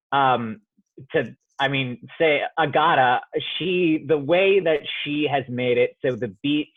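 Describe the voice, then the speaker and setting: Young male interviewee, thoughtful and halting, feeling out each phrase; close dry sound, somewhat lo-fi. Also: medium pitch, no echo. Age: young adult. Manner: halting, searching for words, frequent "like". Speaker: student playwright being interviewed. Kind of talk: reflective interview talk.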